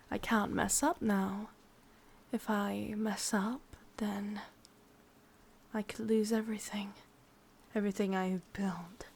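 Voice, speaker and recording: A woman with an English accent playing a sadistic, possessive, vain witch in a breathy, whispered ASMR roleplay, intimate and menacing. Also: medium pitch, a little mellow, a little hiss or hum, close to an everyday voice. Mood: commanding, controlling.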